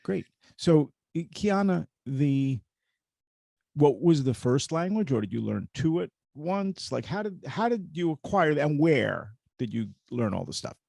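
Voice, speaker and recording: A man hosting an interview, asking lively questions that break off and restart. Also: fairly high pitch, measured pace, gravelly, dry recording, studio quality. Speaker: host. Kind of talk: conversational interview.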